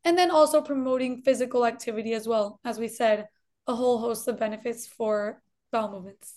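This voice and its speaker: A woman with a faint Colombian Spanish accent speaks in a high voice. She is a dietitian guest on an interview, explaining clearly and repeating points for emphasis. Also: a little fast, a little mellow. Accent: slight Colombian Spanish.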